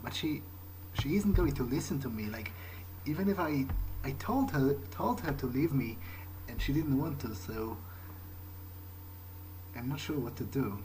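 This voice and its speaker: A man playing a hesitant, protective vampire in an improvised video game roleplay, speaking in a halting, understated way. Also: mid-range voice, animated, a little mellow, natural voice. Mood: uneasy musing. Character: hesitant, awkward, protective male vampire, musing aloud. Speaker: gamer playing in character. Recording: noisy recording with game ambience.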